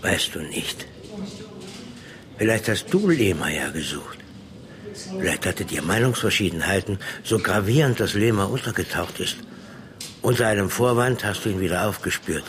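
An actor in a German radio play playing a shrewd, threatening police inspector who interrogates bluntly in slang. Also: male voice, deep voice, lively intonation, moderate pace, a bit bright. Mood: pressing, accusing.

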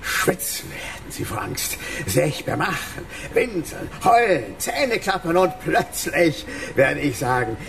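A man's voice: on the high side, very animated, slow, creaky, few pauses, a bit bright, slight background noise, full character voice. An actor playing a sadistic, ice-cold, decrepit old villain who savors his cruel words in a German radio drama. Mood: excited, sadistic. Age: older.

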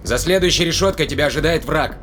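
An actor in an audio drama playing an aggressive, impatient spaceship captain. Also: male voice, fairly high pitch, fast talker, background noise, close to an everyday voice. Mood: tense, puzzled. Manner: commanding, impatient. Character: aggressive, hot-headed male spaceship captain on the hunt. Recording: loud music and effects behind voice.

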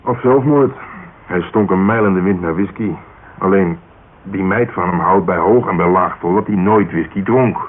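An actor playing a wry, cynical police detective in a radio drama, speaking colloquial in an offhand way. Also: male voice, deep voice, moderate pace, lightly acted. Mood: matter-of-fact. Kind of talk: Dutch radio drama dialogue. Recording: dull, hissy old radio recording.

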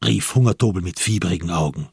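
A man narrating a radio drama from a script, in a measured literary storytelling manner. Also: sits low, gravelly, darkish tone, husky, dry recording, studio quality.

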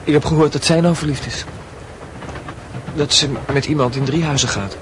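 A man acting a touchy, lovesick schoolboy in a radio play, with a fairly high voice. He speaks haltingly, repeating himself, over clear background noise. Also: lightly acted. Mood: probing, evasive. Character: touchy, lovesick male secondary-school student. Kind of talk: radio drama dialogue. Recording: noisy radio drama recording.

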